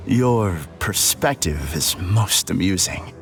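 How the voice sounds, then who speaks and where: A man playing a polite, eerily calm game villain who speaks with formal, unruffled condescension. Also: on the low side, heavily acted. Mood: smooth condescension. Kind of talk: video game voice acting. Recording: music behind the voice.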